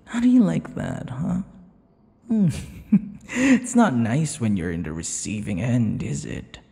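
A man playing a possessive, teasing vampire lover in an ASMR audio roleplay, smug and menacing, murmuring and slipping into breathy whispers. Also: very animated, warm tone, big-room sound, heavily acted. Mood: taunting. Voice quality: low, gravelly. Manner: slow, breathy murmurs dropping into whispers, mocking endearments.

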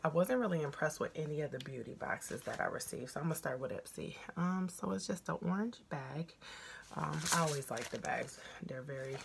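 A woman in her thirties with a raspy voice, chatting frankly through a product review, filmed on a phone at arm's length in a small room. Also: low-pitched, expressive, slight background noise. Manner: chatty, frank, full of "um" pauses. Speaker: beauty vlogger.

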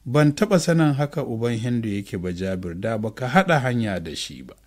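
A man's voice: medium pitch, sing-song, a little fast, a little mellow. A man voicing a pious, consoling older woman in an audiobook, speaking in earnest exhortation. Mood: indignant, worried.

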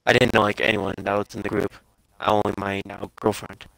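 A young man telling a casual story in a halting, rambling way full of self-corrections, as a game streamer chatting. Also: fairly low pitch, level tone, creaky, fairly bright tone, no echo, low-quality recording. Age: young adult. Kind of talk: casual storytelling over gameplay.

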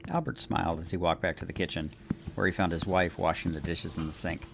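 A man's voice: steady tone, fast, vocal fry. A man narrating a scripted bedtime story for an audio drama. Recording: faint low rumbling noise.

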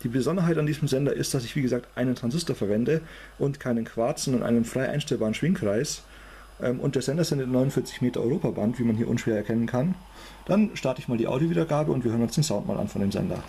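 A man's voice: mid-range voice, fast talker, gravelly, mellow, breathy edge. A man with a German accent giving an informal, matter-of-fact technical demonstration as a hobby electronics presenter.

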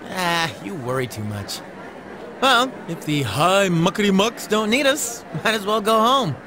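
An easygoing slangy teen boy from a video game, talks slow, pitch all over the place. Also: very animated, crowd noise, background noise. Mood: dismissive. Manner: casual, slangy. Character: slangy, easygoing, emotional teenage high school boy. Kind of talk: scripted game voice acting.

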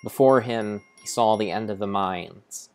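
A young man improvising a mock-grand, deliberate and halting dramatic narration of a video game. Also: on the low side, darkish tone, faint music behind. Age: young adult. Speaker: game streamer. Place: indoor room at home.

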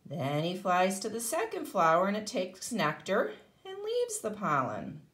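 A woman's voice: medium pitch, huge pitch swings, unhurried, a bit bright, roomy sound. A woman teaching kindergarten, reading a children's book aloud expressively and explaining it to young listeners. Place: indoor room, at a table.

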